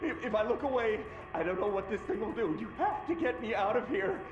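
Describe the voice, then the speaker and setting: A man's voice: high-pitched, lively intonation, a little fast. An actor playing a panicky, exhausted employee pleading for rescue in a video game, stammering as he goes. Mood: frantic. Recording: muffled, echoey cell with music behind.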